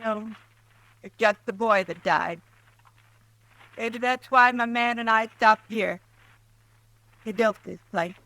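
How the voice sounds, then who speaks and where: An actress playing a weary, guarded homesteader wife in an old radio drama, answering in short, curt lines. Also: female voice, a little high, takes it slowly, long pauses, dry recording, poor audio. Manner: curt, flat, guarded replies. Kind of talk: 1950s radio drama acting.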